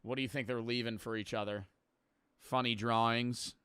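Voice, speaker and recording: A man reacting to a video with excitable, joking commentary, swearing as he riffs. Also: medium pitch, normal speed, noisy background. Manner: excitable, swearing, riffing jokes.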